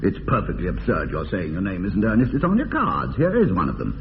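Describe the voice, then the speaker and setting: Through a tinny, echoing old radio sound comes a witty young English toff, arch and mock-earnest. His pitch swoops in lively mockery as he teases with polished upper-class phrasing. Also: fairly quick, heavily acted. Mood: amused, probing. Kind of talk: radio drama acting of period comedy. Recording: tinny band-limited radio, long reverb, hiss.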